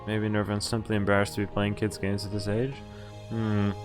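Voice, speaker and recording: A man's voice: fairly low pitch, completely flat delivery, moderate pace, music in the background. A man streaming a game, reading its story text aloud with casual, amused, sweary commentary.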